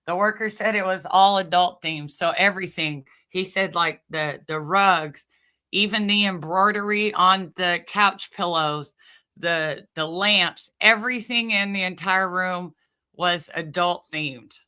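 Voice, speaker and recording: Female vlogger, bright forward voice, informal and conversational, talking straight to the audience as host. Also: lowish voice, a little slow, clean tone. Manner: informal, conversational, addresses listeners directly. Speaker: host of a commentary channel. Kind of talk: casual chatty commentary to viewers.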